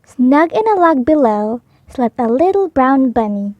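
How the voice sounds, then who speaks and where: A woman reads a children's storybook in a high, very animated voice. She takes her time with each phrase. The recording is dry and a little dull. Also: unhurried, no room sound. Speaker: storybook read-aloud narrator. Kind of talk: slow children's storybook narration.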